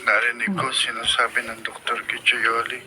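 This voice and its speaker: A man's voice: mid-range voice, completely flat delivery, moderate pace, close to an everyday voice. Man acting a polite young Filipino son, heavy noise. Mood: apologetic, resigned. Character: polite, ailing, self-sacrificing young farmer son. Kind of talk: Filipino radio drama acting. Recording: very noisy radio broadcast with clicks.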